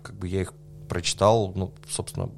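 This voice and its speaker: A man talking through his answers in a relaxed podcast interview, pausing with fillers and laughing softly now and then. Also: sits low, a little hiss or hum. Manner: thoughtful, hesitant with many fillers, laughs softly. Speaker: podcast guest, coffee judge.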